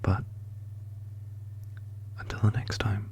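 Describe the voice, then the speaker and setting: A man speaking in a soft, breathy whisper as a channel creator, giving an ASMR-style outro. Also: takes it slowly.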